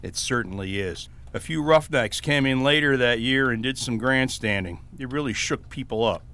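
A calm frontier doctor played by a man in a western radio drama. He speaks at a medium pitch in a measured, reassuring, matter-of-fact way. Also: clear, resonant tone, close to an everyday voice. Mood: sombre.

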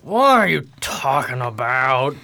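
A man's voice: mid-range voice, very animated, slow, gravelly, bright, forceful tone, exaggerated voice. A man playing a blunt, hot-headed, upset husband in an amateur radio drama. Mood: indignant.

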